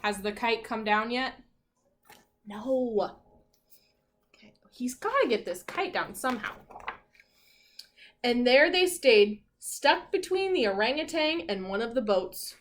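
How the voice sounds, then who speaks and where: A woman teacher reading a picture book aloud to children in an expressive, encouraging way, pausing to ask her listeners questions. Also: on the high side, slight background noise.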